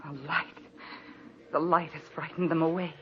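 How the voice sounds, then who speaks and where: An actress playing a frightened, anxious wife writing in her notebook, with tremulous, halting delivery in a 1940s radio thriller style. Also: low voice, flat delivery, lightly acted. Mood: tense, terrified. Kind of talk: 1940s radio thriller acting, narrated diary. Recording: muffled, noisy old radio broadcast recording.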